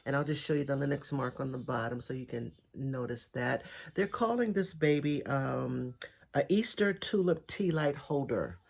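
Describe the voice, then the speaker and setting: Brief: middle-aged woman vlogger, stuffy and raspy, rambling and upbeat. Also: rumbling low, lively intonation, moderate pace, darkish tone, a little hiss or hum. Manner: chatty, rambling, enthusiastic. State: congested, raspy voice. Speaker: thrift reseller vlogger. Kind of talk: casual haul video chat.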